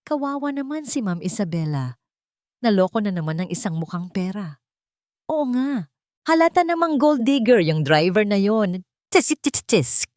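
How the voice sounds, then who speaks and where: A woman's voice: medium pitch, big pitch swings, normal speed, darkish tone, no room sound, clean studio sound, pitched above the performer's own voice, lightly acted. A woman voicing gossiping housemaids in an audio storybook, catty and scornful. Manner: catty, tongue-clicking.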